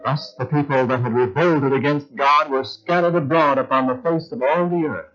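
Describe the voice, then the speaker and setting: A man's voice: medium pitch, steady tone, normal speed, a bit bright. A man narrating a biblical radio drama in a solemn storytelling manner. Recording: muffled lo-fi old recording with music.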